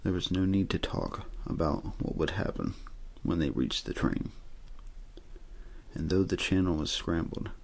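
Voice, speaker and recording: An extremely deep-voiced man reads a story in ASMR style. His voice is husky, breathy and full of gravelly fry. He speaks softly in measured phrases, pausing often. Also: expressive. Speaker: audiobook-style reader for an ASMR channel. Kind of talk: ASMR story reading, scripted narration.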